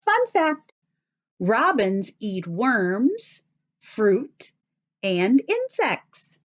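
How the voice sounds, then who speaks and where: An American woman reading aloud to young children like a teacher, expressive and sing-song, addressing them with questions. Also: on the high side, extremely slow, highs cut off. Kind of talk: children's story read-aloud.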